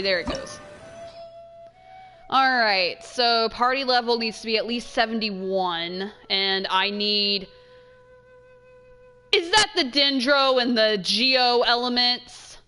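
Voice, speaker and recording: A woman's voice: on the high side, bright, forceful tone. A woman giving casual let's play commentary, thinking aloud in informal, slangy speech.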